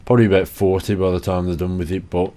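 A young man talks through his playthrough in a loose, sweary, self-mocking way. His voice sits low and dark. It has a slight rasp from a lingering cough, and he sounds worn out. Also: flat delivery, quick pace. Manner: sweary, chatty, self-deprecating. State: tired and hoarse from a cough. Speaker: gaming channel host. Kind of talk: casual gameplay commentary.

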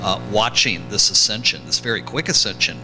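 A man reporter puts questions to the panel at a press conference. His voice sits a little low and he asks in a relaxed, conversational way. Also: fairly quick, music in the background, rough recording.

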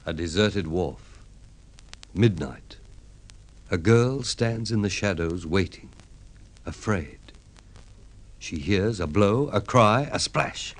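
A man's voice: sits low, animated, takes it slowly, long pauses. A man narrating an old-time radio drama in a terse, ominous, dramatic style.

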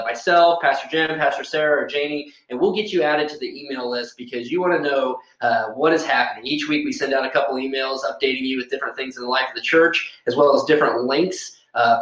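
A man's voice: mid-range voice, a bit bright. A man in his thirties, a pastor giving church announcements to camera in an upbeat, emphatic, informal way. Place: small plain indoor room. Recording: roomy echo, slightly dull sound.

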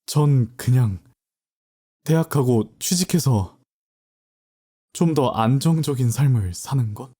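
A man's voice: mid-range voice, animated, takes it slowly, long pauses, warm tone. A man playing a hesitant, stammering student. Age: young adult. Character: hesitant, troubled male university student. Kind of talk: audio drama acting.

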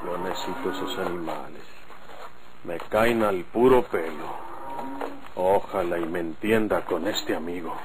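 An actor playing a blunt, self-assured bandit rancher in a Mexican radio drama, with a Mexican accent. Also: male voice, deep voice, measured pace. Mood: musing. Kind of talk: golden-age Mexican radio drama acting. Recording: noisy old radio drama recording.

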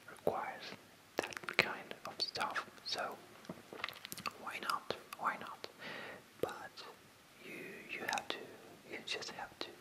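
Breathy whisper, unhurried pace, hesitant phrasing, repeated words, ASMR creator talking to viewers. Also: rough recording. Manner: hesitant, halting delivery with repetitions.

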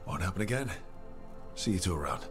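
An actor playing an irreverent, easygoing warden hero with a sarcastic manner in video game dialogue. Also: male voice, deep voice, animated, brisk, over music. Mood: wry.

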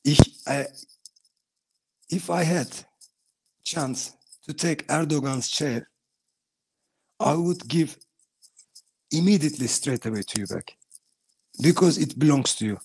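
Turkish guy on a video call, husky voice, earnest broken english, sitting in a bathroom. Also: fairly high pitch, takes it slowly, long pauses, a little mellow, rough recording. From Turkey. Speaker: guest giving testimony. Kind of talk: casual video call chat. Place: small bathroom at home.

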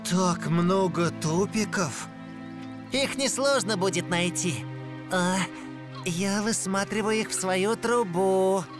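An actor voicing a cheerful, curious young puppy boy in an unhurried cartoon style. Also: mid-range voice, music in the background, natural voice. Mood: cheerful, eager. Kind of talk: animated cartoon dub.

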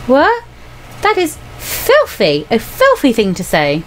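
A woman's voice: on the high side, huge pitch swings, takes it slowly, slight background noise, voice pushed up, full character voice, rough recording. A woman voicing a haughty, naive young student girl in a visual novel, prim and dismissive. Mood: disgusted.

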